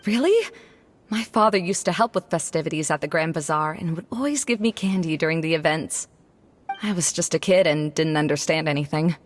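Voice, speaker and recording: A woman's voice: deep voice, fairly quick, faint music behind. An actress playing an earnest, reflective young scholar woman in an anime game. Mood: reminiscing. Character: wistful, self-doubting young scholar woman running a fair.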